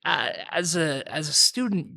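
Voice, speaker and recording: Bright-voiced male interview host. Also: a little high, expressive, moderate pace, creaky. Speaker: podcast host. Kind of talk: casual interview.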